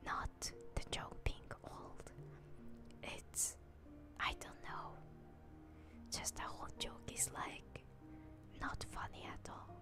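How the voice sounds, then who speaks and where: A young woman from Hungary, whispering softly as she chats with viewers on an ASMR stream. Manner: whispered, soft and slow, chatting with viewers. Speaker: VTuber streamer. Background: music.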